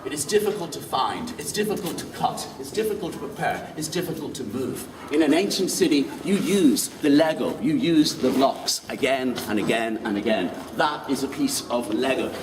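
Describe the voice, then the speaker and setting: Male walking-tour guide, animated and quizzing, distant outdoor recording with traffic noise. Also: mid-range voice, moderate pace, hardly any pauses. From Ireland. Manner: lively, quizzes the group with questions. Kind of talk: walking tour talk to a group. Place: outdoors in old city streets. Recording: distant mic, street noise.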